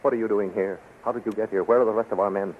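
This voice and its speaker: Man as earnest captive officer, lowish voice, urgent formal delivery, thin hissy vintage radio recording. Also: steady tone, racing, phone-quality sound, lightly acted. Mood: anxious, urgent. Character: captured male army captain, enslaved, anxious, earnest. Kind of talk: 1930s radio serial acting.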